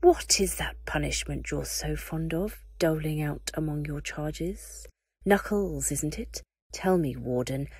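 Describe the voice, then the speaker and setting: Woman whispering as a hissing dark lord. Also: sits low, breathy edge, no echo, exaggerated voice. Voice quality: hushed hissing whisper, slow. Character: cold menacing male dark lord, hissing.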